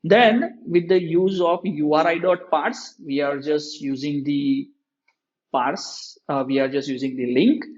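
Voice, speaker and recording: Male lecturer, Indian accent, slightly high voice, step-by-step tutorial delivery, muffled lo-fi mic. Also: unhurried. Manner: repeatedly checks in with tag questions. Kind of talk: software tutorial, explaining code step by step. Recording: dull lo-fi microphone sound.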